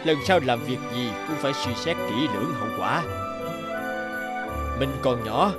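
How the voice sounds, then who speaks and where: A man's voice: medium pitch, lively intonation, a little slow, natural voice. A man voicing a stern elderly bear wizard in a cartoon fairy tale, lecturing like a caring teacher. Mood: scolding. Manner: admonishing, lecturing. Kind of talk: animated fairy-tale dubbing. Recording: music playing under the voice.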